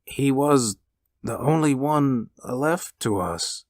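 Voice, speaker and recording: A man voicing a gentle, earnest, slow-witted elderly husband in a story. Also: on the high side, wildly swooping pitch, slightly rough, dry recording, clean studio sound, voice pushed up, heavily acted. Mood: grieving. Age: older.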